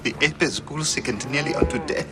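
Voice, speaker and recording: A man playing a despairing, halting high priest of ancient Egypt in a dramatic video game scene. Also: over music, close to an everyday voice. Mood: weary despair. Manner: halting, grave, sorrowful. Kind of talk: dramatic game cutscene acting.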